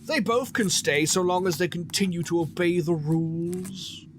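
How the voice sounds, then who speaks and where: A man acting an aloof, commanding pony who rules a theater in an audio drama, speaking in a dry, imperious, measured way. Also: sits high, background noise, natural voice. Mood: brusque. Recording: music bed behind the voice.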